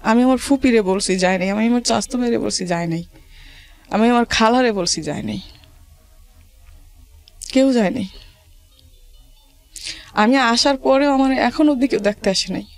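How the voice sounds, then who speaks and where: A woman with a colloquial regional Bangladeshi accent, telling her life story plaintively and haltingly, repeating phrases. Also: fairly high pitch, gravelly. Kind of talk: personal testimony in plain colloquial talk. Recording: faint music behind the voice.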